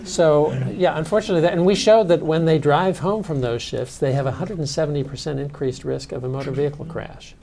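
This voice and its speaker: A man's voice: a little high, fairly quick, gravelly, few pauses, warm tone, a little hiss or hum. A man speaking on a panel discussion as a researcher, earnest and citing study findings. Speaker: sleep researcher.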